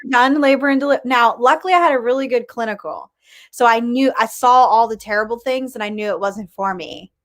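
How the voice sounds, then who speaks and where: Female interview host, slightly high voice, informal and reactive, chatty delivery, dry sound. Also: brisk, dry recording. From born in Colombia.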